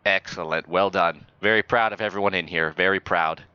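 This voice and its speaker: A man speaking as a mock-official air traffic controller and announcer, improvising in a deadpan manner. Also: deep voice, brisk, clear, resonant tone, dry recording, highs cut off. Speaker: flight simulator player acting as air traffic controller and airshow announcer. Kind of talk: improvised radio talk and mock commentary.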